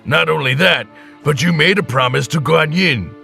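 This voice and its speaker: A tactful old dragon king from an animated children's tale. The voice is fairly high and bright, with a crackly edge. The delivery is deliberate and gently admonishing, like a wise elder. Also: vocal fry, faint music behind, exaggerated voice. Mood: gently reproving. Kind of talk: children's animated story character acting.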